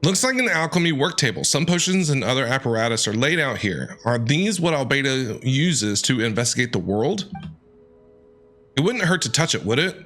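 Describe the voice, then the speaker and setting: A man streaming a game with casual, playful reactions, sometimes reading on-screen text aloud in an expressive way. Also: medium pitch, moderate pace. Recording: faint game music behind.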